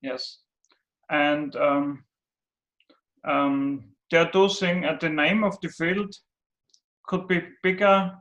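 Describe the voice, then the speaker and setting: A man's voice: a little high, fairly even intonation, slow pace, long pauses, a bit bright, dull audio. A man from Austria on a video call, hesitant and searching for his words. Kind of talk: casual video-call chat.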